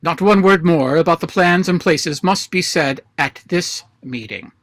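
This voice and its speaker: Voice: mid-range voice, measured pace, no room sound, studio quality, natural voice. An actor in a radio play reading, voicing an imperious, scornful president of an anarchist council in a pompous, drily mocking way. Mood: commanding, grave. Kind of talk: radio play read aloud. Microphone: video call.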